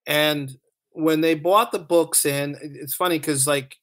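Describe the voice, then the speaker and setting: Male podcast guest, slightly higher pitch, casual, informal, conversational, repeats words while talking. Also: steady tone, measured pace, a bit bright. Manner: informal, repeats phrases as he goes. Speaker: podcast guest, author and audio dramatist. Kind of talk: casual chat, anecdotes.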